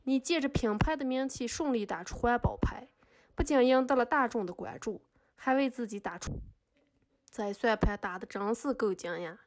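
A woman acting as an indignant female accuser in a podcast courtroom drama. She speaks in a fairly high voice with a sarcastic, scolding manner, reading out her case as a monologue. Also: normal speed, a little mellow, lightly acted.

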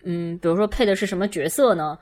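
A woman's voice: lowish voice, fast, a little mellow. A woman hosting a podcast, putting questions to a guest in casual conversational style. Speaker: podcast host, interviewer.

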